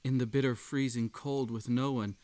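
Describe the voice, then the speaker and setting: A man's voice: mid-range voice, steady tone, moderate pace, mellow, lightly acted. A man playing an unhinged, possessive bunny hosting a sinister tea party in a roleplay audio drama, coaxing and falsely polite. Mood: forlorn. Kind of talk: scripted roleplay audio drama addressed to the listener.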